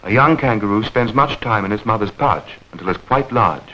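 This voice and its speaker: Old 1940s newsreel narrator guy, lively intonation, careful diction, dull lo-fi film sound. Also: fairly low pitch. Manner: measured, clearly enunciated, explanatory.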